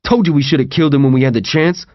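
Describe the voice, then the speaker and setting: A man's voice: a little high, very fast, dry recording, close to an everyday voice. Man voicing an out-of-breath male inmate in a wheelchair, cursing, dull lo-fi sound. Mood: angry. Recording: dull lo-fi audio.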